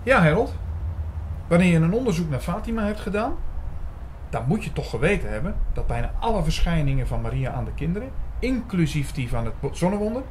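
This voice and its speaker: Audio drama lead: male actor as suspicious, reflective investigator-narrator, mellow tone, some background noise. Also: a little high, sing-song, brisk. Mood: explaining.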